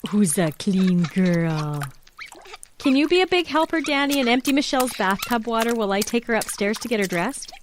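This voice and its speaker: A woman playing a gentle, patient mother of young children in a radio play. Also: a little high, animated, normal speed, noisy background, lightly acted. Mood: affectionate.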